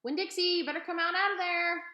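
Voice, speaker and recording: A woman's voice: very high voice, fairly even intonation, fast talker, pushed and bright, reverberant, pitched above the performer's own voice. A woman voicing a young preacher's daughter, a spunky but polite girl who speaks earnestly. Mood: calling out. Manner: earnest, hollers when upset.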